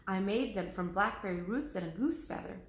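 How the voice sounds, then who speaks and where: A woman's voice: medium pitch, echoey room, a little hiss or hum, close to an everyday voice. A woman voicing a worried, thoughtful older man in a storybook reading. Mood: measured. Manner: slow, measured, hushed.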